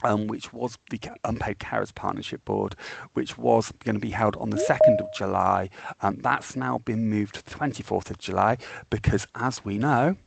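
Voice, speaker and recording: A man's voice: lowish voice, very animated, normal speed, creaky, a little hiss or hum. A man hosting an online meeting, giving an update with many hesitant fillers. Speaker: meeting host.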